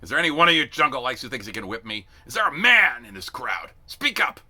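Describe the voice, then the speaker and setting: A man acts out a scornful drill sergeant from an audiobook-style war story. He barks and leans hard on every insult, with a forceful, piercing edge. The pitch leaps widely and the voice has a rough rasp. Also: very animated, full character voice. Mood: challenging. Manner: barking, drawn-out emphatic insults. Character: bellowing, contemptuous male drill sergeant, theatrically insulting. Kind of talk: dramatic read-aloud of a military novel.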